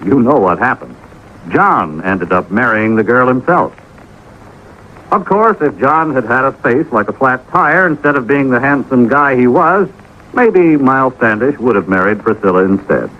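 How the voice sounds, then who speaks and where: Animated American radio announcer on old recording. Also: male voice, mid-range voice, moderate pace. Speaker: radio announcer giving public service message. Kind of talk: 1950s radio scripted announcement, folksy. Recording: dull, slightly noisy old radio recording.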